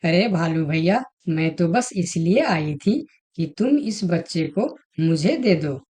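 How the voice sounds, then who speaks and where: A woman playing female animals in an animated moral fable, with a persuasive manner. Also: fairly low pitch, slow, breathy edge, exaggerated voice. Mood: earnest. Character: female talking animals, fox and elephant, in a fable. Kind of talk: Hindi animated moral story dialogue.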